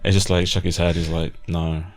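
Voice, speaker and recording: A man's voice: low voice, little pitch movement, fairly quick. A British man interviewing someone in an informal, chatty way, like a fellow fan. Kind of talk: casual chat.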